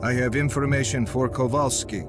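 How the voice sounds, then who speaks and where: An actor playing a composed military major in a video game, speaking in a measured, businesslike way. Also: male voice, mid-range voice, fairly even intonation, lightly acted. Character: composed middle-aged military major on an investigation. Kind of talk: scripted video game dialogue. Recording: loud music behind.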